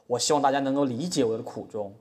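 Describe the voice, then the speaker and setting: A man's voice: mid-range voice, fast talker, darkish tone, natural voice. A man playing an earnest, self-excusing businessman with a split personality, apologising as a defendant in a satirical courtroom audio drama. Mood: apologetic, patriotic. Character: male defendant, self-styled mainland tycoon with split personality. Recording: reverberant hall sound.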